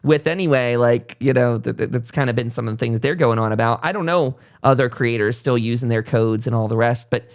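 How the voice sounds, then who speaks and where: A man's voice: mid-range voice, a little fast, hardly any pauses. Chatty male podcast host in conversation. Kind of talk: casual interview chat.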